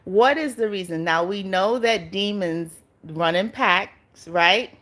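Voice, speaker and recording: A woman's voice: mid-range voice, takes it slowly, a bit bright. Middle-aged woman from Barbados, live-stream host, chatty, greets viewers and laughs often. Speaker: YouTube channel host.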